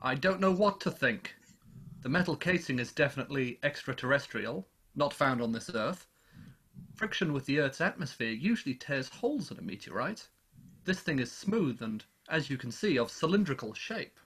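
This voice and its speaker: An actor playing a puzzled, scholarly professor in a radio play, speaking deliberately and precisely. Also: male voice, mid-range voice, expressive, fairly bright tone, husky. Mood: puzzled, analytical. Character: male professor, measured, puzzled, scholarly scientist.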